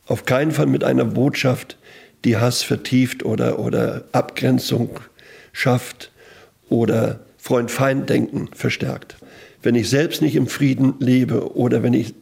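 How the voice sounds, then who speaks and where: Very gravelly, raspy reflective man. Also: mid-range voice, fairly even intonation, darkish tone. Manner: earnest, pausing to think. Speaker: auxiliary bishop. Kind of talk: reflective interview answers.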